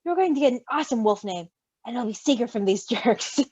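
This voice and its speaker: Lady doing a sulky whiny wolf boy from a storybook, high and dramatic, swoops around. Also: lively intonation, a little fast, a little mellow, husky, no room sound. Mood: pouting. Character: sulky, possessive young male wolf creature named Roman.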